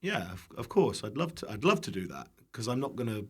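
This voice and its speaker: British man, low voice, casual storytelling, self-deprecating, sweary. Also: sing-song, brisk, breathy edge. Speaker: guest, internet cooking creator. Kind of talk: casual chat, anecdotes.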